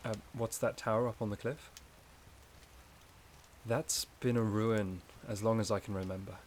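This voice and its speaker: A man playing a gentle, shy, caring forest companion in a soft-spoken ASMR roleplay, with a hesitant, slightly stammering delivery. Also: on the low side, fairly even intonation, moderate pace, slight background noise, close to an everyday voice. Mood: soothing, cozy.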